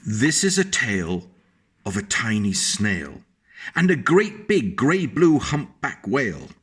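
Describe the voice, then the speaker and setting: Slow, gentle man reading a picture book. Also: medium pitch, sing-song, slightly rough. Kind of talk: children's storybook reading.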